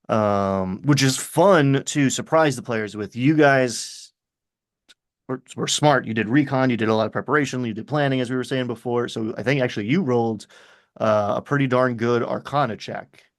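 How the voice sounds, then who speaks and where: A man talking casually as a game master, telling stories in an animated way and acting out little asides. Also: mid-range voice, normal speed. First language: English. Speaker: game master discussing his tabletop games. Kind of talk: casual conversational chat.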